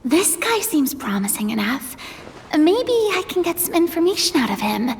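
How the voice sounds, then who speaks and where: High, friendly young-sounding woman. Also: big pitch swings, moderate pace, background noise. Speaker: voice actor. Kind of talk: fan voice-over of game dialogue.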